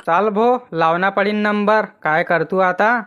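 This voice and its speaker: A man's voice: high voice, unhurried, bright, forceful tone, lo-fi sound. A man acting village husband, rural Maharashtrian accent, grumbling, argumentative, colloquial cartoon delivery. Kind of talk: comic cartoon dialogue.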